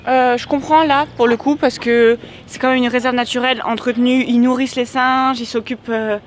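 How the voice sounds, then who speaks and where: A woman's voice: a little high, fairly even intonation, fairly quick, slight background noise. A woman chatting casually while walking outdoors on a trail, filming a travel vlog. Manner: chatty and upbeat. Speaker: travel vlogger. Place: outdoors on a nature reserve trail.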